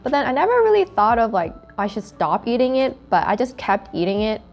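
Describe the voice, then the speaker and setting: A woman's voice: on the high side, sing-song, a little fast, highs cut off, faint music behind. Chatty young woman, Korean first language. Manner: storytelling, frequent "like" fillers. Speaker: student club podcast host. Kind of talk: casual chat.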